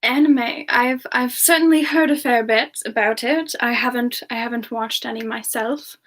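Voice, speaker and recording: A woman playing a sweet, affectionate young female character in soft semi-ASMR chat, gently answering listeners with endearments. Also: a little high, moderate pace, close to an everyday voice. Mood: sweet, shy. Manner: gentle, affectionate, addresses listeners as dear and love. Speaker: streamer answering chat and singing requests.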